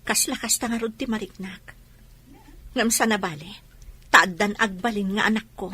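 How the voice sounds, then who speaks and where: A woman's voice: medium pitch, moderate pace, bright, forceful tone, slight background noise, lightly acted. A woman with an Ilocano accent playing a bitter but determined pregnant young wife in an audio drama. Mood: weary, firm.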